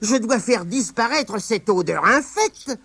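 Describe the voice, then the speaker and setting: A man voicing a small, fussy female magic spider in a squeaky voice. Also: animated, unhurried, pushed and bright, husky, no room sound, full character voice. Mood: disgusted.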